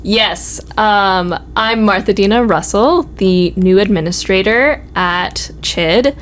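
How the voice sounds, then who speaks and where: A woman's voice: mid-range voice, takes it slowly. A woman in her twenties chatting and telling personal stories casually as a guest, with some noise behind her. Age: 20s. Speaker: university program administrator, guest. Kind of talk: casual conversational storytelling. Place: office. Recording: noticeable background noise.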